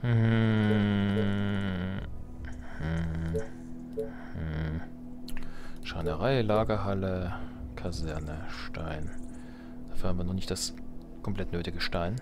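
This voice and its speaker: A man with a fairly low voice plays a game and comments casually as he goes. He mutters to himself with playful sarcasm. Faint music runs behind his voice. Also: fairly even intonation, extremely slow, pauses often. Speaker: let's player.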